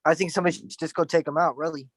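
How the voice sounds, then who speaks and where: A man with a fairly high voice gives blunt, opinionated takes in loose casual chat, full of "like". The sound is dry and close. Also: steady tone, very fast, no echo.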